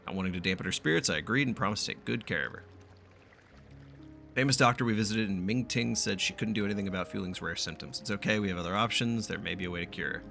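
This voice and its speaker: Low-voiced man reading game text, bright delivery. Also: fast talker, gravelly, soft background music. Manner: casual, profane, stumbles while reading. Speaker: gaming streamer doing a playthrough. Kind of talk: reading game text aloud with casual reactions.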